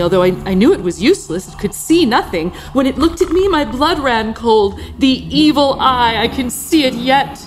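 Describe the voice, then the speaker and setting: An actress playing an obsessively tidy, murderous housekeeper, a genteel lady narrating a Gothic radio play in a theatrical, deliberate way. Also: medium pitch, close to an everyday voice. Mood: fearful disgust. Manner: theatrical, deliberate, repeating words for emphasis.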